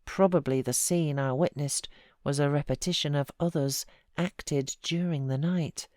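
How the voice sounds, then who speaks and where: A woman with a British accent giving an expressive, measured literary narration as an audiobook reader. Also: low voice, dry recording, clean studio sound. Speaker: audiobook narrator, voiceover artist.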